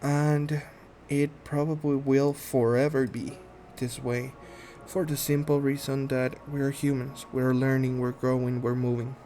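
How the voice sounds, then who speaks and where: A man in his thirties from Guatemala, speaking English with a Guatemalan Spanish accent, introducing himself in a shy, halting way full of ums and restarts. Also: medium pitch, little pitch movement, soft background music. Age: 30s. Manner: shy, soft-spoken, earnest. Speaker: gaming channel host. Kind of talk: casual self-introduction while playing a game.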